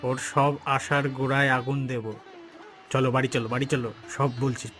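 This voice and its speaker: A man's voice: medium pitch, little pitch movement, fairly quick, a bit bright, soft background music, natural voice. Man as scheming, jealous village villain. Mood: menacing. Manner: conspiratorial, menacing. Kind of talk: cartoon voice acting.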